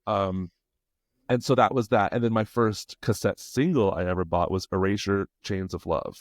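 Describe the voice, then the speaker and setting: A man's voice: sits low, moderate pace, no echo. A middle-aged man co-hosting a podcast in casual banter, teasing playfully and speaking emphatically. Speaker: podcast co-host.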